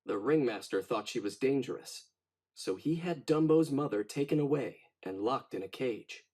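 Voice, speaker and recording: A man narrating a children's storybook in an expressive, dramatic read-aloud style. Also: mid-range voice, moderate pace.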